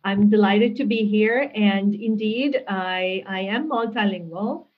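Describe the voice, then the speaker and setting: A woman, a guest answering interview questions in a thoughtful, measured way with frequent restarts, heard over a video call. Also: medium pitch. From Hungary. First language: Hungarian. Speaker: guest, linguistics professor. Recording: tinny phone-like call audio.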